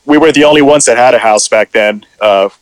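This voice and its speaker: A man in his forties, originally from Puerto Rico, talks as a podcast guest. He tells his story in a loose, meandering way, full of "you know" fillers. His voice sits at an ordinary middle pitch. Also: brisk, no room sound, poor audio. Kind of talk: casual storytelling chat.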